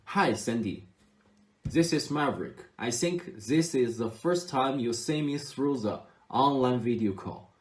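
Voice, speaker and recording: A man's voice: medium pitch, animated, a little slow. A man in his twenties from China with a Chinese accent, giving a formal, carefully worded testimonial to camera. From Xinjiang, China. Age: 20s. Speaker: online student. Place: small indoor room at home. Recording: echoey room.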